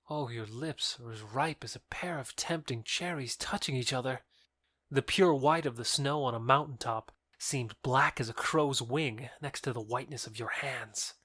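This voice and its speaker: A man's voice: medium pitch, a bit bright, no room sound, clean studio sound. A man playing an ardent, proud young lover in a modern-English Shakespeare audio drama, effusive and declamatory.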